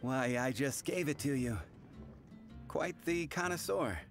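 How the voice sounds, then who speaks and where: A man's voice: mid-range voice, unhurried, music in the background, close to an everyday voice. A man voicing an affable, observant tavern bartender in a video game, polite and conversational. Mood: courteous, chatty.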